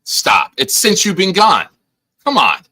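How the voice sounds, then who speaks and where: A man hosting a casual live-stream show, hoarse, teasing and bossing his guest around with sudden loud bursts. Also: high voice, wildly swooping pitch, unhurried, a crowd in the background. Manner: teasing, bossy banter with sudden loud outbursts. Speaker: podcast host.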